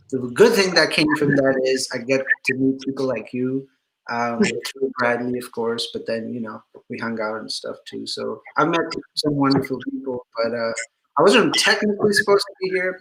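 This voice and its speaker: A young man from Pakistan, a musician, talking about himself in a relaxed, chatty way with easy laughs during a casual interview. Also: mid-range voice, normal speed, gravelly, poor audio. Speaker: musician guest.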